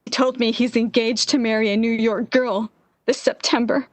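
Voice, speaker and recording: An actress playing an anxious, lovesick young woman in 1940s-style radio theater, pleading and halting in a melodramatic way. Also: on the high side, level tone, no room sound, lightly acted. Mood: heartbroken. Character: Anne, lovesick, anxious, desperate young woman clinging to love.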